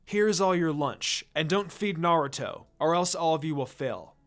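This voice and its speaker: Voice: mid-range voice, expressive, moderate pace, natural voice. A narrator voicing a stern, serious male ninja teacher who lectures, in a story reading.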